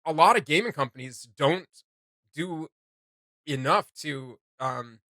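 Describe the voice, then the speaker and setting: A man chatting casually as a reaction video host, joking, slangy and animated. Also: fairly high pitch, a little slow, dry recording, clean studio sound.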